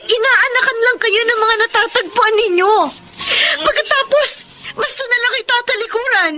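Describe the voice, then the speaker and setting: A woman's voice: very high voice, expressive, moderate pace. A woman playing a long-suffering, scolding daughter, earnest and emotional, in a Tagalog radio drama. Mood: tearful, reproachful.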